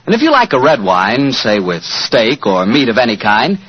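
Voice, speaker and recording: Man, vintage radio announcer, sponsor pitch, lively intonation, husky, dull recording. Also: normal speed.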